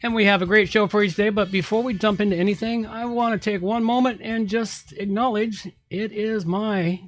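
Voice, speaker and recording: A man hosts a podcast with a noticeably high voice. He talks in an unscripted presenter style, recorded very dry with faint music at times. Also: fairly even intonation, fairly quick, dry recording. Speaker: podcast host.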